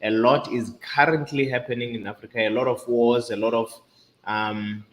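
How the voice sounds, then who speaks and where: A man's voice: mid-range voice, fairly even intonation, normal speed, fairly bright tone. A man making earnest points in a casual live-stream discussion, repeating them for emphasis. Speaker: discussion panelist. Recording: roomy echo with faint noise.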